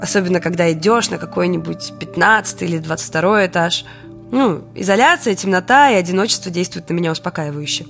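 A woman's voice: fairly low pitch, quick pace, gravelly, a bit bright, faint music behind. An actress playing a weary, wry woman living through wartime blackouts, in an intimate audio drama diary monologue. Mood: wry, reflective.

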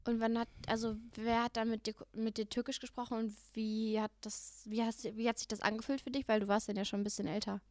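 A woman's voice: on the high side, little pitch movement, fast, few pauses, a little mellow, no room sound, clean studio sound. An eighteen-year-old woman from Germany, co-hosting a podcast in a casual chat full of youthful slang. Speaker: podcast co-host.

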